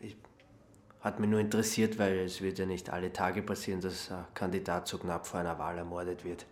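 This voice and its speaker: A man acting as an awkward, quietly nosy sleuth with an Austrian accent and hesitant, sheepish speech in a radio drama. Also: low voice, little pitch movement, brisk, close to an everyday voice. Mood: feigned innocence. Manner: hesitant, sheepish, falsely innocent.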